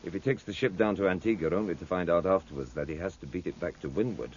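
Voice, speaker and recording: An actor playing a gruff, doubtful naval officer with an English accent, blunt and hesitant, in a 1950s radio drama. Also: male voice, low voice, fast talker, lightly acted. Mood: grumbling. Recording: muffled, noisy 1950s radio recording.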